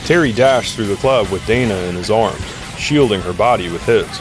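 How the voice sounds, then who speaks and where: Low-voiced male narrator over prominent music. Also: normal speed. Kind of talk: dramatic scripted audio drama narration.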